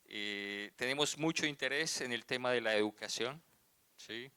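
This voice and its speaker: A man's voice: mid-range voice, moderate pace, bright, forceful tone, clean tone, dry recording. A man giving earnest conference remarks as an academic, with hesitant fillers. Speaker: academic speaker.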